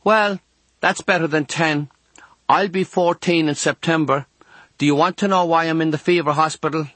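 A man plays a cheeky Irish girl of thirteen from a storybook. The voice is lifted high and calls out in a teasing sing-song. The sound is close and dry. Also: steady tone, normal speed, fairly bright tone, no echo. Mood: teasing, chatty. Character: lively, cheeky thirteen-year-old Irish girl in hospital.

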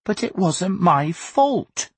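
Man playing a high-pitched, indignant, grandiose schoolboy. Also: very animated, moderate pace, husky, dry recording, full character voice. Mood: indignant protest. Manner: protesting, pompously grand. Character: indignant, grandiose young schoolboy arguing his innocence.

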